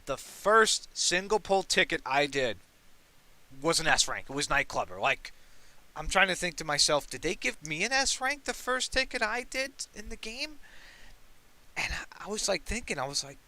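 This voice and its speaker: A young man hosting a casual gaming podcast. He talks in an excitable, rambling way and swears casually. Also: high voice, normal speed. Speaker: podcast host.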